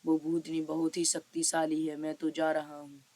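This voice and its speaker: Cartoon village chief, played by a woman: extremely flat, monotone male elder of authority, cautious and resigned. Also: low-pitched, normal speed, pitched below the performer's own voice. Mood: resigned refusal. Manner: flat, resigned, repetitive ordering. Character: cautious, self-protective village headman.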